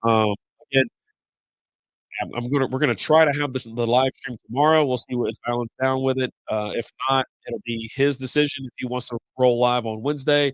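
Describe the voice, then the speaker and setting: A middle-aged man hosting a beer podcast live stream, congested from a cold with a slightly raspy voice, rambling along in loose casual chat with some mild swearing. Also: medium pitch, steady tone, fairly quick, no room sound, poor audio.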